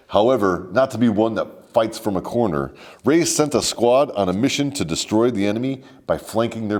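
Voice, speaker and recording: A man narrates military history as a comedic bedtime story. His voice sits fairly low and swings widely in pitch, with a mock-formal, sardonic delivery peppered with salty slang. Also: darkish tone. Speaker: host and storyteller.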